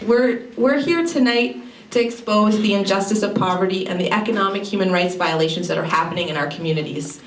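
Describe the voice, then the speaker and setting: A woman's voice: mid-range voice, brisk. Woman organizer giving a fired-up speech, echoey hall, mic kinda far off. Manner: earnest, rallying, emphatic. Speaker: anti-poverty group co-coordinator. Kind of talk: prepared opening speech. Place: university library event hall. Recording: echoey hall, distant mic, faint noise.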